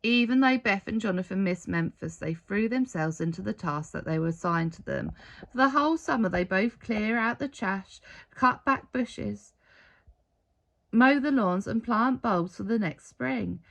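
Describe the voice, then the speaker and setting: A woman reading a children's story aloud in an expressive storytelling style. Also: medium pitch, a little slow, creaky. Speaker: storybook reader.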